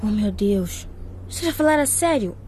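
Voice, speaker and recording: A woman's voice: mid-range voice, expressive, moderate pace, warm tone, slight background noise, close to an everyday voice. A woman playing a naive, anxious teenage schoolgirl in a radio drama. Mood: shocked, worried.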